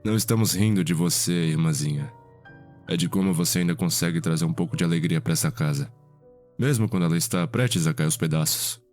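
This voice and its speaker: A man playing a composed, fond vampire healer and elder brother in an ASMR roleplay, speaking in a measured, formal way. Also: low-pitched, a little mellow, soft background music, natural voice. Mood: amused, fond.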